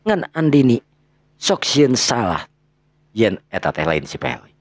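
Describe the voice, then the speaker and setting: A man's voice: mid-range voice, big pitch swings, a little slow, dry recording. A man with a Sundanese accent narrating a folktale dramatically, breaking phrases up for suspense. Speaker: storyteller.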